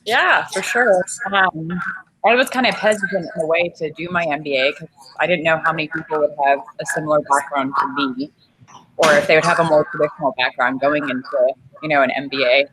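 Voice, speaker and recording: Woman from Kenya, MBA student; friendly, relaxed video-call talk, slightly low voice, audible background noise. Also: fairly quick. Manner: friendly, laughs easily, chatty. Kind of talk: casual video-call chat.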